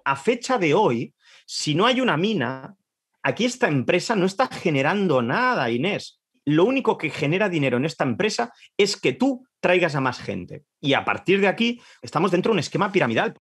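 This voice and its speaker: A man, explaining deliberately in an interview. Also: a little high, very animated, a bit bright, husky. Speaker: interviewed expert.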